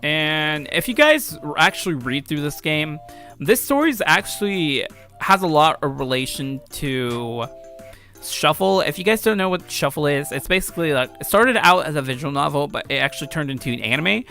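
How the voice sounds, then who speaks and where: A man's voice: on the high side, lively intonation, normal speed, creaky, pushed and bright. A young adult man giving casual, rambling let's play commentary as a video host, offhand and self-deprecating about his own work. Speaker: video host and amateur game creator. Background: background music.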